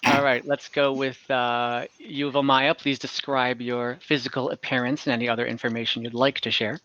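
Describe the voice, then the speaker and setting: Bright-voiced man running a game, muffled call. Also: mid-range voice, level tone. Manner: halting, pausing to think as he describes scenes. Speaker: game master. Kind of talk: narrating an online tabletop game. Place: indoor room on a group video call. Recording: dull video-call audio.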